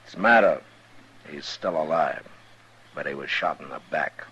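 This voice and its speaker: An actor playing a stern, steady frontier marshal in a 1950s radio western, speaking with terse authority. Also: male voice, very deep voice, a little slow, slightly rough, full character voice. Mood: grave, measured. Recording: dull old radio drama recording.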